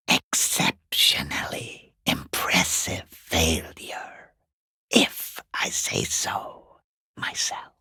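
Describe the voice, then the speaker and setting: A man voicing a foul-mouthed, sarcastic watcher in a video game, taunting in a rough, breathy, creaky half-whisper. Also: deep voice, sharp tone, no room sound, studio quality, exaggerated voice. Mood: sarcastic disgust. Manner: sarcastic, taunting, drawn-out mockery. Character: sneering, foul-mouthed watcher peeking through a harbour gate gap. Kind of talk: video game character voice acting.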